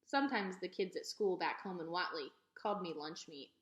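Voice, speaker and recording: A woman's voice: fairly low pitch, expressive, brisk, echoey room, natural voice. A woman voicing a young preacher's daughter, a spunky but polite girl who speaks earnestly. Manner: earnest, hollers when upset.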